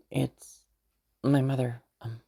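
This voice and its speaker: Woman acting a low, husky young noblewoman. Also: full character voice. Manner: hesitant, halting, with soft chuckles and sighs. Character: young noblewoman, affectionate, teasing, fond of her knight. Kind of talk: roleplay audio drama, intimate conversational acting.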